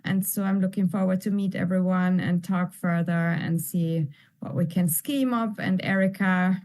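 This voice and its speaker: A woman presenter giving closing remarks in a hybrid online session, inviting the audience warmly and thanking them at length. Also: on the low side, fairly even intonation, normal speed. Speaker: conference presenter. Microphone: video call.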